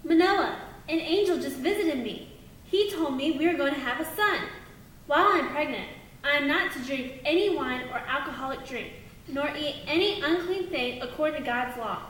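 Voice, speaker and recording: A woman's voice: normal speed, fairly bright tone. A woman playing an earnest wife in a Bible story for a children's puppet show, declaiming her lines. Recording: very echoey room, low rumble.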